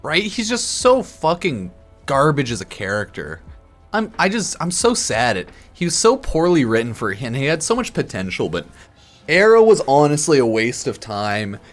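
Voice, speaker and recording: A man's voice: mid-range voice, wildly swooping pitch, normal speed. A slightly drunk man giving casual, rambling game commentary as a streamer, blunt, profane and opinionated. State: a bit drunk. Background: music under the voice.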